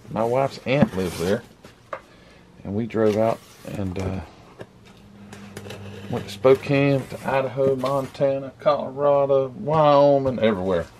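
A man hosting his model car channel, chatting casually while unboxing a parcel, his voice croaky from the morning, with lots of restarts. He talks indoors into a camera set a little way back from him. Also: mid-range voice, noisy background. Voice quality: rambling, restarts often. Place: indoor room with camera on a table. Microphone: camera mic set back from him.

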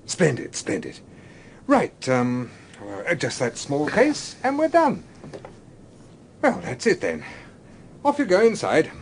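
An actor playing an older, courteous British gentleman scholar in a radio drama, musing and sometimes hesitant. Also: on the high side, wildly swooping pitch, takes it slowly, long pauses, a little hiss or hum, exaggerated voice. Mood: cheery, bustling. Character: genial, philosophical English gentleman scholar, older, gently evasive.

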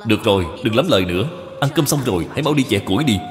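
A man's voice: lowish voice, expressive, very fast, lightly acted. A man voicing a harsh, dismissive stepmother in a cartoon moral tale, curt and scolding. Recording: long reverb, music behind.

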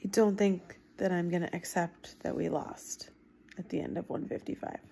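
A woman in her thirties chatting casually and emphatically to her phone at home, reacting in an animated, informal way. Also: fairly low pitch, moderate pace, creaky, a little hiss or hum. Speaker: book vlogger giving reading reactions. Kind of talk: casual reaction chat to camera. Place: small room at home, indoors. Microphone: phone at arm's length.

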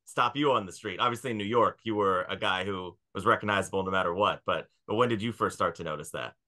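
A man's voice: low voice, quick pace, sharp tone. A man in his thirties hosting a podcast, asking casual interview questions into a headset on a video call. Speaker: podcast host.

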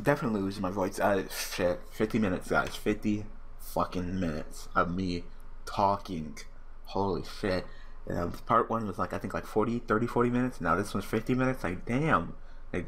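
A young man with a hoarse, worn-out voice commentating a card game online, swearing and ranting casually. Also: lowish voice, slight background noise. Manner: profane, rambling, excitable rants. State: hoarse, losing his voice. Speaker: gaming channel host.

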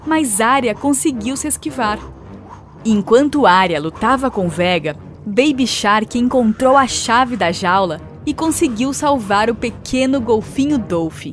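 A woman narrates a children's cartoon in a clear, expressive way, her voice a little higher than most. Light music plays softly underneath. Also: measured pace. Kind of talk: scripted storybook narration.

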